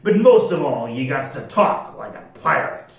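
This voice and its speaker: Blustery pirate captain, swooping pitch. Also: male voice, sing-song, normal speed, creaky. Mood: fed up. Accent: stage pirate. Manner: bellowing, theatrical, rhyming bluster. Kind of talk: animated children's storybook read-aloud. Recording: roomy echo, distant microphone.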